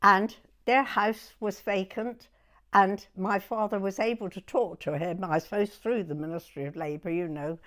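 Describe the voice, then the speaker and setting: A woman in her nineties with a southern English accent, reminiscing in an interview in a halting way, pausing to recollect. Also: mid-range voice, fairly even intonation, a bit bright. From southern England. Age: 90s. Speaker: oral history interviewee.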